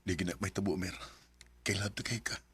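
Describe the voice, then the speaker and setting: An actor playing an earnest, devoted young village suitor in a Bisaya radio drama, speaking with a Cebuano Filipino accent. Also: male voice, deep voice, little pitch movement, brisk, a bit bright, slightly rough, heavily acted. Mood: elated.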